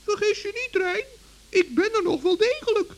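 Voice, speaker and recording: A performer voicing a small, crafty, cheerful male forest gnome with a squeaky voice and an innocent, matter-of-fact manner in a children's radio play. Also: expressive, normal speed. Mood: calm, sly.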